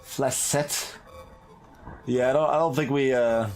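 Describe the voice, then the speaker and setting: A video game streamer giving casual playthrough commentary, musing and thinking aloud in a halting way. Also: medium pitch, fairly even intonation, darkish tone, faint music behind.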